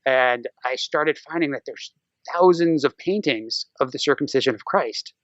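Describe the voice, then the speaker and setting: A man's voice: medium pitch, normal speed, dry recording. A man talking casually as a podcast guest, telling stories in a whimsical, irreverent way. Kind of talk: casual humorous chat and storytelling. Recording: slightly dull, muffled lo-fi audio.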